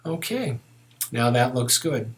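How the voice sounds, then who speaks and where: Man calmly narrating a software tutorial. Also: medium pitch, slow. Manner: relaxed, methodical, narrating each step as he works.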